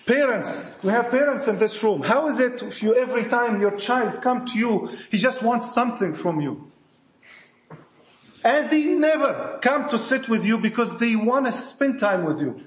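A man's voice: extremely high pitch, expressive, normal speed. A man with an Egyptian accent preaching a sermon to a congregation, emphatic and repetitive, putting rhetorical questions to his listeners. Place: church hall with a congregation. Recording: echoey room.

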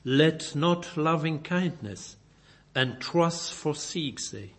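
A man's voice: fairly high pitch, animated, takes it slowly. A Belgian man with a German-speaking accent preaching a sermon in careful, non-native English, often repeating and correcting his words as he reads scripture aloud.

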